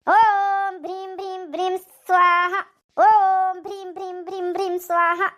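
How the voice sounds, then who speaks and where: A woman's voice: extremely high pitch, little pitch movement, a little slow, a bit bright. A woman voicing a stern, greedy female guru and sorceress, imperious and incantatory, in a village comedy cartoon. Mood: commanding, scheming.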